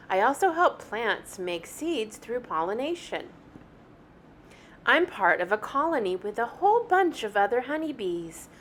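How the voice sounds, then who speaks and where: A woman reading a picture book aloud like a teacher, with animated, deliberate phrasing meant for young children. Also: mid-range voice. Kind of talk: expressive children's story read-aloud.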